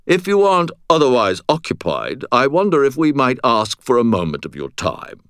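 A man's voice: medium pitch, wildly swooping pitch, moderate pace, a bit bright, smooth, no room sound, clean studio sound, voice pushed up. A man voicing a haughty aristocratic wizard lawman for an audiobook, with a pompous, drawling British accent. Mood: haughty, condescending. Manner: pompous, drawling, exaggeratedly snooty.

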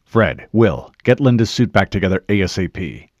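Man voicing a deep, stoic soldier commander. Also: lively intonation, darkish tone, no room sound, clean studio sound. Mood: commanding. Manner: terse, measured, commanding. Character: calm male supersoldier commander, stoic, authoritative. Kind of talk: audiobook character voice.

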